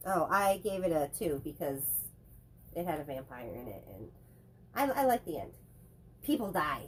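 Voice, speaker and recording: A woman's voice: low voice, sing-song, slightly rough, rough recording. A woman with a head cold co-hosting a casual chat about movies, rambling and joking as she talks. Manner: rambling, chatty, quick to joke. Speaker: co-host.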